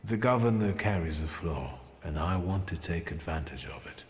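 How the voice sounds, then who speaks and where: A man acting a proud, cool-headed thaumaturge in a story video game, speaking in a measured, self-assured way. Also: low voice, animated, long reverb tail, a little hiss or hum. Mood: composed, scheming. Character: proud, defiant male thaumaturge, cool and scheming.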